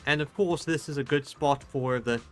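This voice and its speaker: A man streaming a game with casual commentary, halting and repeating words as he goes. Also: medium pitch, faint music behind.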